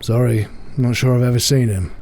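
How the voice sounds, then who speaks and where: An actor playing a weary, suspicious middle-aged harbour master in an audio drama, speaking bluntly. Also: male voice, mid-range voice, fairly even intonation, fairly quick, warm tone, slight background noise, close to an everyday voice. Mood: matter-of-fact.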